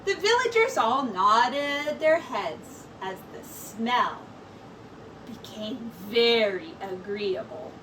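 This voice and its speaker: High, animated woman reading a story. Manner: deliberate, expressive storytelling with drawn-out words. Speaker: teacher. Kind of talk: storybook read-aloud for children. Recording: distant mic with clear background noise.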